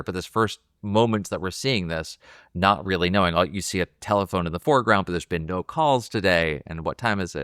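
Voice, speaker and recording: A man with a very low, clean and resonant voice, recorded dry and close. He co-hosts a casual film talk, describing scenes hesitantly as he feels out his thoughts. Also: a bit bright, clean tone, no echo. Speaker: podcast co-host.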